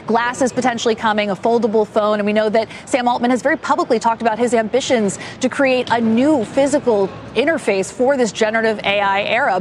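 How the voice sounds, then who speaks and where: Higher-pitched American woman reporting live. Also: a little hiss or hum. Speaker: business news reporter. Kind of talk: live broadcast news report. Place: on location at a company headquarters.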